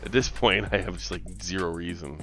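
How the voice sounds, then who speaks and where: A man's voice: sits low, brisk, fairly bright tone, soft background music. A man co-hosting a soccer watch-along stream, talking in a rambling, profane and emphatic way. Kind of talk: casual opinionated sports chat.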